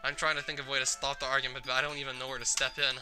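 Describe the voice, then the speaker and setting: A game player reading a visual novel's narration aloud in an expressive storytelling style. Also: medium pitch, rapid-fire, over music.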